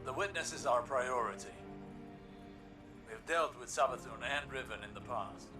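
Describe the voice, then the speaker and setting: A man playing a grave, thoughtful warlock scholar in a video game, speaking in a measured, earnest, formal way. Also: medium pitch, over music, natural voice. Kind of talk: scripted video game dialogue.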